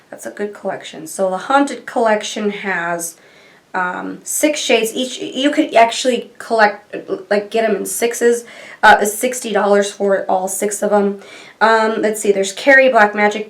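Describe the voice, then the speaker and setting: A woman in her thirties with a stuffy nose from allergies, chatting casually and rambling as she reviews products in a small room at home. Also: medium pitch. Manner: chatty and rambling, gushing over colors. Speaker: beauty vlogger. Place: small bedroom filming nook, indoors.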